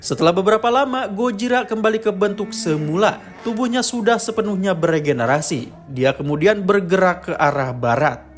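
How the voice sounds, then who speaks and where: A man's voice: sits high, fairly quick, few pauses, faint music behind. A man narrating a scripted explainer of a horror story. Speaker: narrator of a film lore channel.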